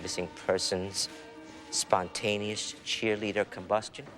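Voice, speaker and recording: An actor playing a stern, intolerant male high school principal in a TV comedy-drama, with a clipped, deadpan, authoritarian delivery. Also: unhurried, over music, lightly acted. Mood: scornful, stern. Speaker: actor in a TV series.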